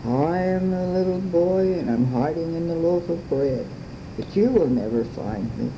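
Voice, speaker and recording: A woman's voice: lowish voice, animated, normal speed, dull audio, natural voice. An elderly woman voicing a tiny, trusting orphan boy in a bedtime story, sing-song and innocent. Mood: trusting, playful. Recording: noisy amateur home recording.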